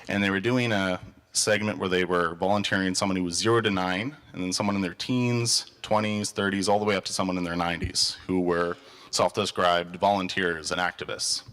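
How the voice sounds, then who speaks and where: A young man from Canada speaks earnestly to a live audience, telling stories and offering advice. His voice sits on the low side. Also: a bit bright. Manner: earnest, storytelling. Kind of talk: motivational talk with audience Q&A.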